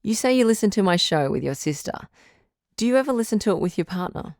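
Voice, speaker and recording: A woman playing a calm, firm relationship advice doctor hosting a radio call-in drama, measured and probing. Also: medium pitch, no room sound, studio quality, lightly acted. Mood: firm, supportive.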